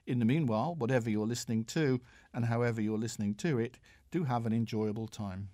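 A British man reading a scripted audiobook review in a measured, formal manner. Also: medium pitch, dark tone. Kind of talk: scripted review read aloud.

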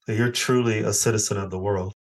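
Low-voiced man interviewing a guest. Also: steady tone, quick pace. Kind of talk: interview conversation.

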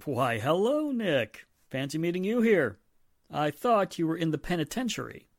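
A man's voice: a little high, sing-song, moderate pace, no echo, studio quality. A man playing a wry, self-assured police inspector in a 1940s style radio drama, breezy and sardonic.

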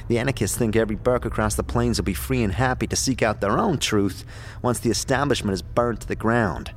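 A man's voice: on the low side, fairly quick, slight background noise, close to an everyday voice. A man voicing a wisecracking, scornful floating skull sidekick in an audio drama, chatty, slangy and sarcastic. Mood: scornful, amused. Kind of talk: audio drama character acting.

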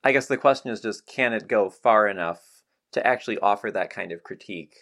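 A man's voice: on the low side, brisk, a bit bright. An American man hosting a podcast, talking in a conversational way. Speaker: podcast host. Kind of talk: conversational interviewing.

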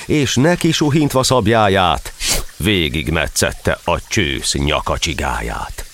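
Deep, theatrical male verse narrator. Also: slight background noise. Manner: theatrical, expressive. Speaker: narrator and actor. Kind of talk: dramatic verse storytelling.

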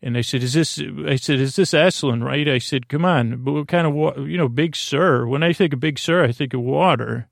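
A man hosting a sleep podcast, wandering through a silly, halting bedtime monologue full of restarts and asides. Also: medium pitch, vocal fry, no room sound, studio quality. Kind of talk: rambling, meandering bedtime monologue.

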